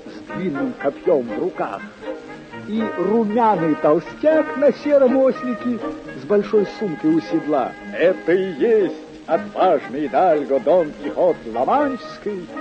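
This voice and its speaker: Urbane radio drama narrator, slow deliberate theatrical telling, old muffled recording with music. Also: male voice, a little high, sing-song. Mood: measured, scene-setting. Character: urbane storyteller addressing his listeners. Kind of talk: dramatic storytelling in a vintage radio play.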